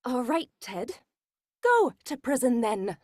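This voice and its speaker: An actress playing a cold, bossy, impatient wife in a 1940s-style radio melodrama, scornful and commanding. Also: female voice, on the high side, big pitch swings, slow, darkish tone, no room sound, exaggerated voice.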